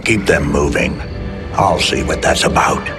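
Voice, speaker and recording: A menacing villain with a low, creeping voice and slow, sinister, hissing delivery. Loud music sits under his lines in the game cutscene.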